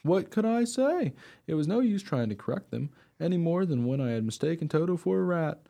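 Man as an earnest storytelling dog, soft-toned and lively. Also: a little high, a little fast, vocal fry. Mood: wry, puzzled. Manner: plain-spoken, artless storytelling. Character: honest, earnest pet dog telling his own tale. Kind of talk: first-person radio play narration.

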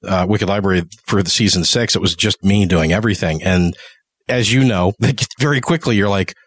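A man's voice: deep voice, moderate pace, dry recording. A man co-hosting a podcast interview, chatting casually. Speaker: podcast co-host and producer.

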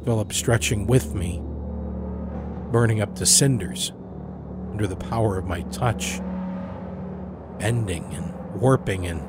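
A man's voice: lowish voice, a little slow, pauses often, very noisy. A man reading scripted narration as the storyteller of a fiction podcast. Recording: music under the voice. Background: musical score.

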